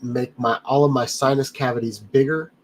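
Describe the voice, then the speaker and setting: A man's voice: medium pitch, fairly even intonation, normal speed, slight background noise. A man hosting a casual livestream, rambling in a friendly way and greeting viewers by name, his nose a little blocked up. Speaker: livestream host reading chat. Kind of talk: casual live chat. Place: home studio.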